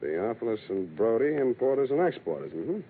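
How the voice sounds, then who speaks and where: A man's voice: mid-range voice, very animated, a little fast, phone-quality sound, close to an everyday voice. A man acting as a sardonic, hard-boiled American private detective in a 1940s radio drama, glib and bantering. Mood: dry, wry. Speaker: radio actor playing the lead detective. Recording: noisy, band-limited old radio recording.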